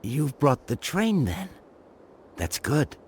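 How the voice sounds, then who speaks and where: An actor playing a frail, simple-minded old inventor who talks in short childlike sentences, in a video game. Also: male voice, a little high, sing-song, takes it slowly, a little hiss or hum. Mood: wistful, simple. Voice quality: husky, breathy.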